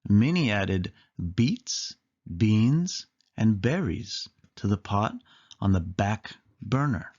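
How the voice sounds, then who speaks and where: Animated, unhurried man reading a storybook. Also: fairly low pitch, highs cut off. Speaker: storybook reader. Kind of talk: children's read-aloud narration.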